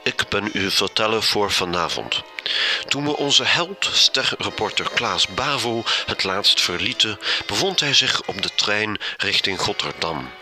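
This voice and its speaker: A man opens the episode as its narrator, speaking in a mock-solemn announcer manner. His voice sits fairly low but sounds very bright and sharp, with soft music behind it. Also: lively intonation, measured pace. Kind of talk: scripted narration.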